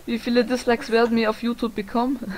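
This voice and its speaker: A young woman with a fairly high voice talks through a game session with friends. She teases her teammates, laughs a lot and throws in casual swearing. Her talk is loose, everyday gaming chat. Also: level tone, quick pace, a little mellow, slight background noise. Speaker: Let's Play gamer.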